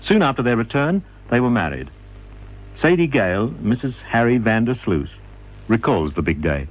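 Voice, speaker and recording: A man's voice: medium pitch, very animated, normal speed, rough recording. An Australian man narrating a scripted radio documentary in the role of presenter.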